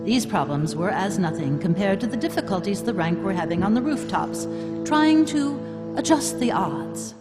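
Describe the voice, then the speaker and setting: A woman's voice: mid-range voice, animated, moderate pace, close to an everyday voice. A woman playing a wry storyteller, narrating a comic tale in live radio theatre. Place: live stage in a hall. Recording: reverberant hall, clear music behind.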